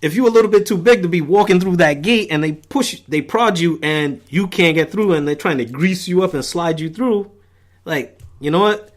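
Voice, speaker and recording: A man trading jokes on a podcast, his voice sitting a little high for a man. He talks in an animated, loose way and laughs often. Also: moderate pace. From Brooklyn, New York. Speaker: podcast co-host. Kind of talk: casual podcast banter.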